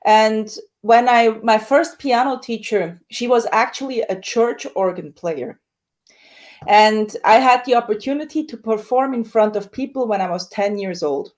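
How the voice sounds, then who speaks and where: A woman, a soprano singer, telling her story in a casual interview with lively acted-out quotes. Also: unhurried. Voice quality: high dramatic coloratura soprano voice.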